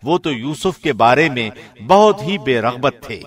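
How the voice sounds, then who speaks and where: A man's voice: mid-range voice, animated. A man reading a scripted translation of scripture as a professional radio presenter. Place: studio.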